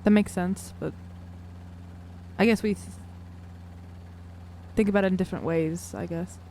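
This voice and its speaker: A woman's voice: on the low side, fairly even intonation, a little slow, mellow, a little hiss or hum. A woman talking earnestly and questioningly as a player in a tabletop roleplaying game. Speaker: player in an actual-play podcast. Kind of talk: in-character tabletop roleplay chat.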